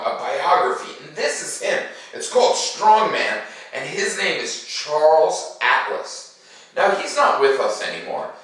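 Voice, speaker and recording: A man talking to children like a school teacher, playful and animated, explaining things in simple words. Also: medium pitch, distant mic. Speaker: school teacher hosting a read-aloud for children. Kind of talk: chatty talk to children, then storybook read-aloud. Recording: very echoey room, away from the mic.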